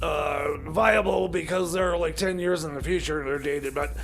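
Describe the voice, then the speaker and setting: A man playing an excitable, scatterbrained time machine mechanic in radio comedy, pleading in stammers and repeats with a strained voice. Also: fairly high pitch, normal speed, creaky. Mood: insistent, pleading.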